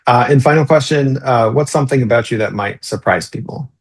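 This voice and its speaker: A man's voice: medium pitch, a little fast. A man hosting a podcast, asking brief, easygoing questions. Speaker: podcast host. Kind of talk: interview questions.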